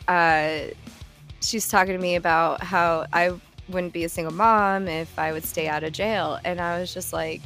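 A woman in her thirties hosting a casual chat, telling lively stories, swearing freely and laughing often. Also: fairly low pitch, normal speed, faint music behind. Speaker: podcast host.

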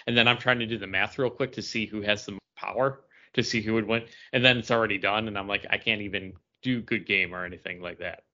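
A man with a lowish but sharp, bright voice chats as a podcast co-host. He rambles casually and pokes fun at himself. The recording sounds a bit dull. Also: steady tone, fast talker, hardly any pauses. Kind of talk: casual chat.

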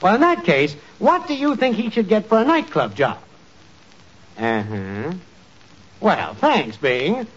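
An actor playing a sly, smooth-talking small-time hustler in 1940s radio comedy, with hesitant, confidential patter. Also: male voice, high-pitched, big pitch swings, takes it slowly, pauses often, heavily acted. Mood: conspiratorial. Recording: muffled, noisy 1940s radio recording.

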